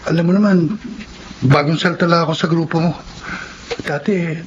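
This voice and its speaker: An actor playing a timid, reluctant young male runaway turned thief, halting and creaky, in a Filipino radio drama. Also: fairly high pitch, steady tone, close to an everyday voice. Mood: nervous, hesitant. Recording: dull, noisy radio drama audio.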